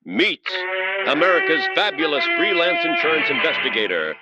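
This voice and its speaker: Guy as showy old radio announcer, highish swooping voice, loud music, muffled sound. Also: big pitch swings, moderate pace, heavy background noise. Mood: grand. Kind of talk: old radio program introduction.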